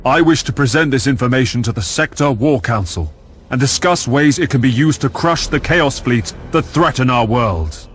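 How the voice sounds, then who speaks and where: An actor playing a stern, defiant navy admiral in a sci-fi game, giving formal, clipped commands. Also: male voice, medium pitch, animated, moderate pace, natural voice. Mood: stern, resolute. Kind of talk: dramatic video game voice acting. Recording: loud music behind.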